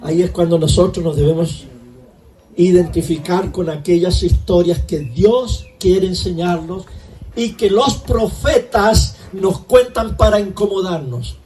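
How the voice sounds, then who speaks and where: Male pastor, fairly high voice, talks to the congregation, a bit off-mic with faint room hiss. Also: unhurried, mellow, distant mic, low-quality recording. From Chile. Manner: conversational preaching, asks rhetorical questions. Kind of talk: sermon.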